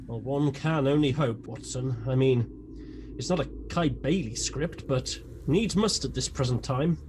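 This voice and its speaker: A man playing a dry, befuddled gentleman detective in a comic radio drama, hesitant and theatrical. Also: mid-range voice, measured pace, slight background noise, natural voice. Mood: dry, muddled.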